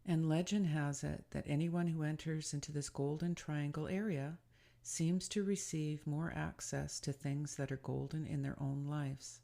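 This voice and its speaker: A woman speaking as a spiritual guide, leading a scripted guided meditation in a soothing, deliberate and gently instructive manner. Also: low-pitched, steady tone, gravelly.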